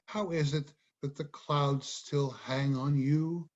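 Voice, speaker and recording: An imperious middle-aged king acted by a man speaks Shakespearean verse in a high, slow voice. He is formal and declamatory, every phrase measured, over a dull video-call line. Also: steady tone, darkish tone. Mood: courtly, probing. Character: smooth, imperious middle-aged king lecturing his stepson. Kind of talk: dramatic reading of Shakespearean verse.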